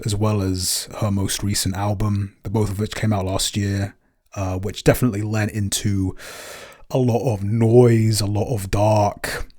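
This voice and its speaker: Low-voiced man, hesitant podcast talk. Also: darkish tone. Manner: hesitant, lots of ums and restarts. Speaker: podcast co-host and composer. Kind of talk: casual podcast Q&A chat.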